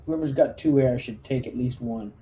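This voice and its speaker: A man's voice: medium pitch, normal speed, warm tone, a little hiss or hum, low-quality recording. A man chatting casually as a player in a tabletop roleplaying game, taking charge and organizing the group over an online voice call. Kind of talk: casual game-session chat.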